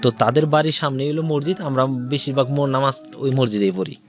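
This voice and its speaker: A man's voice: medium pitch, fairly quick, soft background music. A man with a Bangladeshi accent tells his own life story as an interview guest, in detail and with quoted dialogue.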